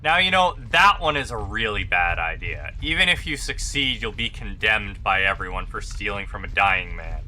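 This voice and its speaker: A man's voice: on the low side, lively intonation, normal speed, hardly any pauses, bright, forceful tone. A man streaming a video game, making casual, wry remarks as he plays. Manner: laid-back, wry remarks. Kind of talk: casual gameplay commentary.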